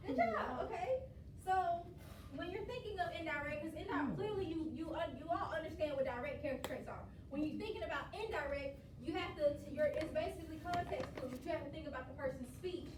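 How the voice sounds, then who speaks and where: High-voiced talkative woman teaching a class. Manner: talkative, quick, laughs often. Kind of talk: classroom lesson. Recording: echoey classroom from far off, noisy.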